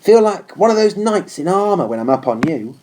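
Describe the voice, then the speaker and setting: A man voicing a gentle, nervous young cavalry trooper in a storybook, with a halting, confiding way of talking. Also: sits high, very animated, slight background noise, voice pushed up. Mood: earnest confiding. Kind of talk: audiobook character voice.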